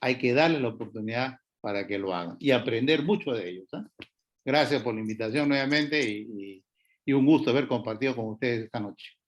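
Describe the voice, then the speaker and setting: A man's voice: mid-range voice, fairly quick, lo-fi sound. A man giving panel remarks, hesitant, with many fillers and restarts.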